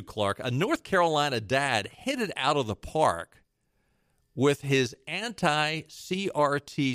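Emphatic male political talk host, lively pitch. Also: no echo, studio quality. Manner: emphatic, sarcastic, jumps between points. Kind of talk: political commentary.

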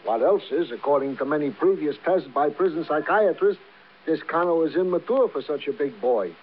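An actor playing an eager, wordy police sergeant with comically formal slang in a 1950s radio drama. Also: male voice, fairly high pitch, expressive, normal speed. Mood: eager, reporting. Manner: colorful slangy cop talk with stilted formal words. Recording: narrow, tinny vintage radio recording.

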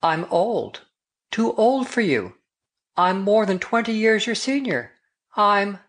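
A woman's voice: medium pitch, expressive, slow, pitched above the performer's own voice. A woman voicing an earnest, lovelorn older man in a storybook romance, solemn and impassioned. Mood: broken, regretful. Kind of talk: audiobook character dialogue.

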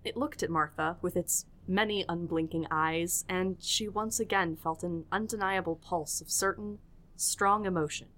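A woman's voice: fairly low pitch, moderate pace, fairly bright tone. A woman narrating a scripted audio drama in a storytelling style.

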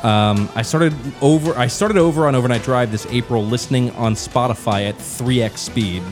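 A man's voice: mid-range voice, moderate pace, faint music behind. A man co-hosting a podcast in an apartment room, joking crudely while losing his voice. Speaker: podcast co-host. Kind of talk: casual banter.

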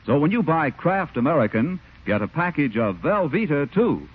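A man's voice: a little high, big pitch swings, moderate pace. A man announcing on 1940s radio with a folksy, polished sales delivery. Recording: tinny, husky-sounding 1940s radio broadcast with music.

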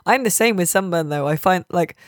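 A woman's voice: lowish voice, animated, fairly quick, no room sound. British woman hosting a casual podcast, dry close sound. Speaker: podcast host and games journalist. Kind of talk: casual chatty podcast banter.

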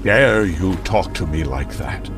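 An actor playing a pompous, theatrical vigilante superhero in old radio serial style, declaiming grandly and easily outraged. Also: male voice, deep voice, animated, takes it slowly, music in the background. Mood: indignant. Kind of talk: 1940s radio serial acting.

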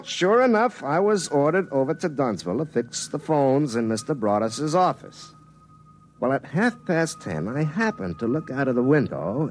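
An actor playing an excitable, folksy small-town phone repairman who tells his own story in a classic radio drama, wry and easily flustered. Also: medium pitch, slight background noise, lightly acted. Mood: wry narrating. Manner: folksy, wry narration turning to flustered, stammering pleading. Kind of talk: classic radio drama acting with first-person narration. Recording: vintage radio recording, faint music.

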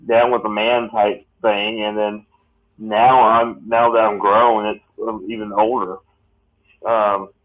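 A man in his late thirties talks in a rambling way, stopping and restarting often. His voice sits fairly low. The sound is thin and tinny, like a phone line. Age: late 30s. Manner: rambling, halting with many restarts. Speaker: podcast host. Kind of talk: casual podcast chat.

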